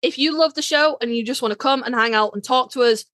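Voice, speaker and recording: A woman's voice: high voice, fast, a bit bright, no echo, studio quality. A woman co-hosting a podcast at a desk microphone, talking casually in a slangy, salty way. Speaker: podcast co-host.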